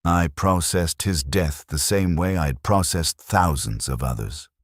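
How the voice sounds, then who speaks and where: A man narrating a scripted first-person war story in a grave, measured, formal manner. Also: low-pitched, animated, no room sound, studio quality.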